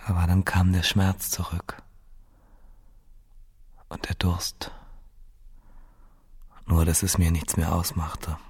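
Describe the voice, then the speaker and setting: An actor playing a wounded, dazed survivor of a massacre in a radio drama monologue, numb, subdued and halting. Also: male voice, low voice, little pitch movement, husky, exaggerated voice. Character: wounded, dazed male survivor lying among corpses.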